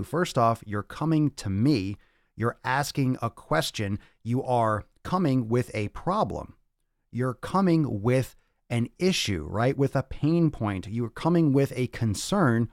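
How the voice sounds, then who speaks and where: A man's voice: medium pitch, no room sound, clean studio sound. A man speaking as a fitness coach and podcast host in a blunt, emphatic, profane rant, often repeating phrases to drive points home. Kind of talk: motivational rant to livestream audience.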